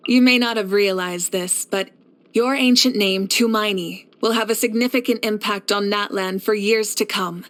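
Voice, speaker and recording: A woman playing a confident, kindly fire archon and war leader in a video game, speaking in an assured, measured, encouraging way. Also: mid-range voice, steady tone, fairly bright tone, natural voice. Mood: earnest, assured. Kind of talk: scripted video game character voice lines.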